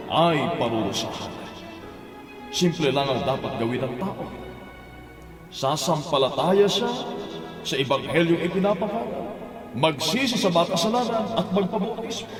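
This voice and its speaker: A man's voice: fairly high pitch, natural voice. A man as an earnest preacher delivering a solemn, emphatic sermon of warning and salvation. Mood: exhorting. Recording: echoey hall reverb, loud music behind.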